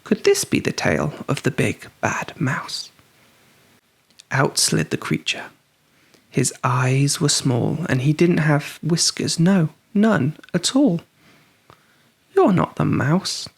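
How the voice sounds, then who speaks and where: Someone reading a kids story, real slow and expressive, bit gravelly, hushed n dramatic. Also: mid-range voice. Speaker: children's story narrator. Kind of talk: expressive storybook read-aloud.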